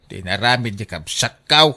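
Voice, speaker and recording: Bright-voiced Ilocano man acted for radio. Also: on the low side, expressive, moderate pace, exaggerated voice. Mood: easygoing. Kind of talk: Ilocano radio drama acting.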